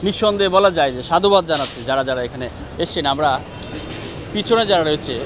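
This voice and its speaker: A man reporting on location at a petrol station with a handheld mic, talking at length with many hesitant pauses. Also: a little high, expressive, music in the background. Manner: wordy, with frequent hesitations. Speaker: field reporter. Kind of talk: on-the-spot news report. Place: outdoors at a busy roadside petrol station. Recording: traffic noise outdoors.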